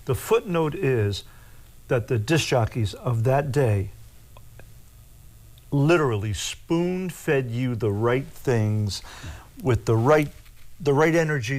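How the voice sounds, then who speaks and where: An older man from with a booming voice, a guest singer telling proud, animated stories. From Philadelphia. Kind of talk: casual chat.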